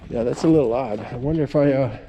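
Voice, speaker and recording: Middle-aged man rambling over clear outdoor noise. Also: mid-range voice, muffled recording. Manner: halting, folksy, stop-and-start storytelling. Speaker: amateur radio operator vlogging. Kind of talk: casual rambling outdoor vlog. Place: outdoors at a lakeside park. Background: ambient outdoor noise.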